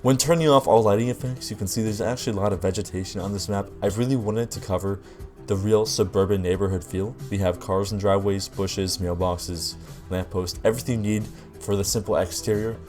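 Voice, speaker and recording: A man's voice: lowish voice, darkish tone. A man narrating a scripted video devlog, explaining things directly to his viewers. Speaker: video creator, game developer. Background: music.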